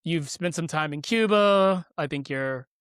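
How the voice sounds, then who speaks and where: A man's voice: on the high side, unhurried, a bit bright, smooth, no echo, clean studio sound. A man hosting a podcast, asking friendly, loosely phrased questions. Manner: friendly, rambling questions with fillers. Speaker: podcast host. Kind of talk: casual interview hosting.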